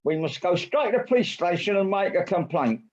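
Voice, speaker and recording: A woman's voice: lowish voice, very animated, a little fast, darkish tone. A woman playing a sensible, scolding but loyal water rat in an amateur audio play, recorded over a dull video call. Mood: concerned.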